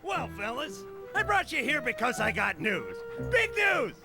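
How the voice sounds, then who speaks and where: A brash bug movie producer. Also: male voice, very high voice, wildly swooping pitch, unhurried, vocal fry, exaggerated voice. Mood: hyped. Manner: brash showman hype. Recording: game audio with loud music behind.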